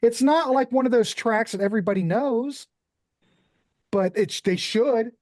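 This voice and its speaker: A man's voice: extremely high pitch, unhurried, darkish tone, dry recording. An upbeat man hosts a loose music talk show and keeps the conversation moving. The recording is dry and close with no room sound. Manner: upbeat, chatty, hands off to guests. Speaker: host. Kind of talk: casual podcast hosting.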